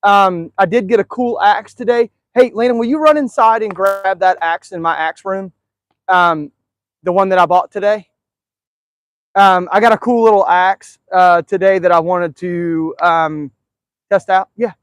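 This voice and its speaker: A man's voice: sits high, measured pace. A man hosting a casual live stream outdoors, chatting in a rambling, easygoing way with lots of fillers. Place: outdoors in a backyard.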